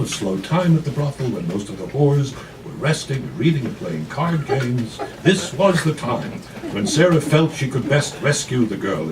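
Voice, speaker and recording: A man reading fiction aloud in a dramatic literary style, with measured, emphatic phrasing and pauses, recorded live in a café. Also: on the high side, far from the mic, a little hiss or hum, poor audio. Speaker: actor reading a novella. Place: café, live before an audience.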